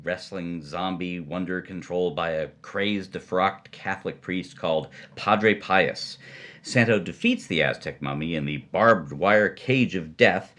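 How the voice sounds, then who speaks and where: A man co-narrating a story in an animated, emphatic, playful scripted style. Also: sits low. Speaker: podcast co-storyteller.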